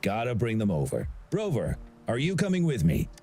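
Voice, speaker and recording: A man's voice: fairly low pitch, normal speed. An actor playing a genial, talkative older man who directs a sporting festival in a video game. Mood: rueful, brisk. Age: middle-aged. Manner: genial, chatty, expressive.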